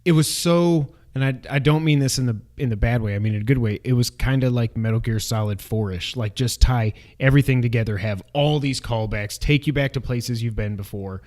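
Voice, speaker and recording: A man's voice: mid-range voice, a little fast, few pauses, darkish tone. A man co-hosting a movie review, chatting informally in rambling, self-correcting sentences. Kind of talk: casual chat, film discussion.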